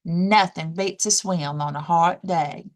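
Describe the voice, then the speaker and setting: A woman voicing a brisk, confident male duck, a bossy helper in a children's storybook, giving emphatic instructions. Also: lowish voice. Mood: confident, advising.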